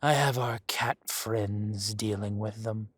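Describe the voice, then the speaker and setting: An actor playing a smug, teasing dragon mafia boss who speaks slowly and often drops to a whisper. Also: male voice, on the low side, fairly even intonation, a bit bright, dry recording, clean studio sound. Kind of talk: audio roleplay acting.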